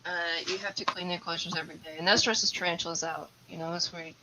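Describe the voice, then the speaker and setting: A woman's voice: fairly low pitch, steady tone, quick pace, noisy background. A woman whose first language is English, a tarantula keeper chatting casually as a guest on a live stream and explaining things at length. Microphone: video call.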